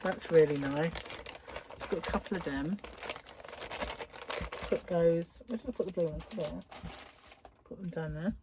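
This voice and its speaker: Over clearly audible background noise and crinkling wrappers, a woman chats in a slow, lingering way. She gushes admiringly in a slightly low voice, dropping into breathy asides like a craft vlogger showing off new things. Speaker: craft vlogger and yarn shop owner. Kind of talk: casual unboxing chat to followers. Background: crinkling cellophane, clicks and rustling.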